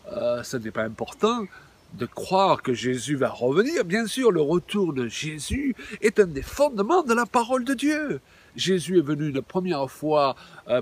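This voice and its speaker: Animated man in his sixties preaching. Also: a little high, slightly rough. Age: 60s. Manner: animated, exclaiming, with many fillers. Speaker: pastor. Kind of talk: video sermon.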